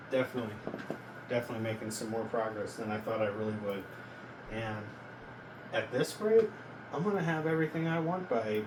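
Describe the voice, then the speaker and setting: Echoey, distant young man rambling to camera. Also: medium pitch. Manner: rambling, halting, self-questioning. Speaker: vlogger. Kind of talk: casual self-reflective vlog talk. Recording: echoey, noisy, distant from mic.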